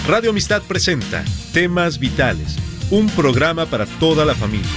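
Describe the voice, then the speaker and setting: A male radio announcer reads a scripted show introduction with a very lively, swooping pitch. Loud music plays underneath him the whole time. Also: moderate pace. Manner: announcer delivery. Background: loud music bed.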